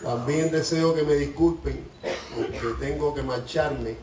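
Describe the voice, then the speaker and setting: A man who is an ambassador and a native Spanish speaker, giving a courteous formal greeting and pausing for an interpreter. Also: fairly high pitch, background noise. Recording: echoey room from far off.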